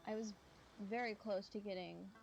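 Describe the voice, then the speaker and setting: A young woman chats casually as a game streamer, at a medium pitch. She rambles along in an informal way, with music clearly playing behind her. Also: steady tone, normal speed, poor audio. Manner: chatty, rambling. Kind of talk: casual gameplay commentary.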